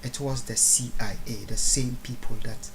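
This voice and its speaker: A man from Ghana with a Ghanaian accent giving a solemn, formal, oratorical address, halting with long pauses and deliberate repeated phrases. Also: medium pitch, fairly even intonation, background noise. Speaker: speaker recording a video address. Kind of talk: solemn public address, unscripted.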